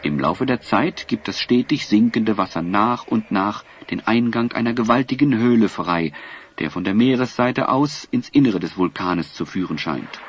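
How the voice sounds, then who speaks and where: A man narrating a scripted children's audio drama in a measured, expressive storytelling manner. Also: lowish voice, slight background noise.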